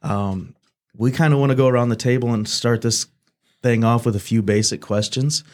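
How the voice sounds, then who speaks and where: Young guy in his 20s, church podcast host, earnest, prays and chats, mid-pitched voice. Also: steady tone, moderate pace. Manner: earnest, repeats "God" between phrases. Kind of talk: casual church podcast chat with opening prayer.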